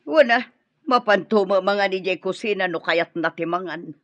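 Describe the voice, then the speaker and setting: A woman's voice: medium pitch, moderate pace, no room sound, close to an everyday voice. A woman playing a brusque, bossy mother-in-law who scolds and complains in an Ilocano radio drama. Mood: scolding. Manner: scolding, sharp, emphatic. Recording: dull, lo-fi audio.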